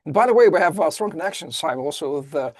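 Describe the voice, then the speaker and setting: A man who speaks Russian natively hosts a podcast interview, enthusiastic and a little halting in his phrasing. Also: fairly high pitch, lively intonation, dry recording, studio quality. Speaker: podcast host. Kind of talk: casual interview hosting.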